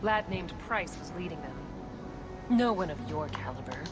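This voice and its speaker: A woman's voice: deep voice, animated, normal speed, loud music behind the voice. Wry female smuggler boss. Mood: sardonic. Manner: sardonic, dry. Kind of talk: video game dialogue acting.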